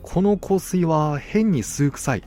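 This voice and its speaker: A man voicing a gullible, self-assured gentleman hunter in a storybook, musing aloud conversationally. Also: fairly high pitch, animated, takes it slowly, faint music behind, pitched above the performer's own voice. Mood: puzzled. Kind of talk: storybook dialogue acting.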